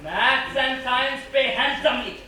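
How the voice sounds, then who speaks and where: A man's voice: extremely high pitch, unhurried. An Indian-accented man playing a bossy, competitive, scornful left brain in an amateur stage play. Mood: smug, scornful. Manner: insistent, boastful, easily outraged. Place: stage in a hall, far microphone. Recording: distant, echoey stage recording with faint noise.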